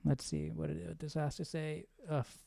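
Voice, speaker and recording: A man's voice: fairly high pitch, little pitch movement, normal speed, creaky, warm tone, no echo. A man casually commenting on what he reads as a stream host, musing and questioning as he works out his thoughts. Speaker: host of a live Bible study stream. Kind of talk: casual reading commentary, thinking aloud.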